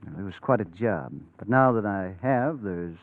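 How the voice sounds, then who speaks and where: Thin, dry old radio recording of a slick villain. His low voice is pushy and smooth-talking, leaning on the listener with coercive confidence. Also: lively intonation, normal speed, no room sound, phone-quality sound. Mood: slick, coaxing. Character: slick, pushy scheming secretary, a man. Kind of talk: 1940s radio drama acting.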